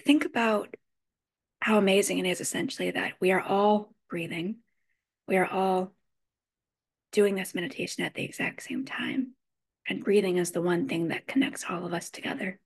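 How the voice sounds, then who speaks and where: A woman leading a guided meditation, giving gentle instructions in measured phrases with frequent pauses. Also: medium pitch, steady tone, slightly rough.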